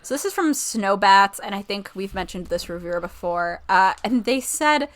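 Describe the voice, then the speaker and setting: A woman's voice: mid-range voice, animated, moderate pace. A woman podcast co-host chatting casually about a TV show in an informal, rambling way, often correcting herself.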